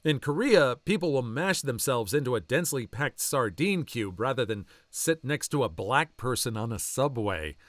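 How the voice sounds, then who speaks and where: A man reading online stories aloud as a channel narrator, with a lively, swooping delivery. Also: medium pitch, moderate pace, few pauses, no echo. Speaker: story narrator.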